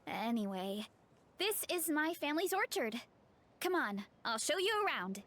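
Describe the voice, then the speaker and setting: A woman's voice: high-pitched, very animated, unhurried, fairly bright tone, a little hiss or hum, full character voice. A woman voicing a cheerful, sweet and earnest young athletic woman in an anime game, with a youthful, friendly delivery.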